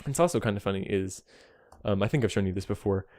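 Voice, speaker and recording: Low-voiced man, casual rambling synth demo. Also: quick pace, a little mellow, no echo, clean studio sound. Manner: self-deprecating, apologetic, chuckles often; enthusiastic. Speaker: amateur synthesist demonstrating gear. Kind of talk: casual rambling demonstration chat to a friend. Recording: music behind, occasionally overloaded mic. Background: synthesizer tones.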